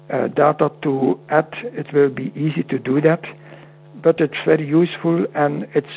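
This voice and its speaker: A man with a Dutch accent whose first language is Dutch, speaking as a guest in an interview over a call, halting and often repeating words. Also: on the high side, creaky, husky. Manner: halting, repeats words, non-native phrasing. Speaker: guest homeopath. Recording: tinny phone-like line with hum.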